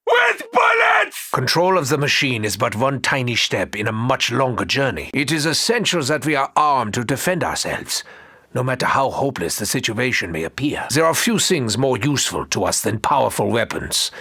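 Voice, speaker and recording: An actor playing a pompous, brooding mad doctor in a video game, with a German accent and a raspy, melodramatic delivery. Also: male voice, sits high, pushed and bright, dry recording, studio quality, full character voice. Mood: shouting, commanding. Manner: pompous, melodramatic, formal wording. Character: brooding, arrogant German mad doctor, theatrical and world-weary. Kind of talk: theatrical video game voice acting.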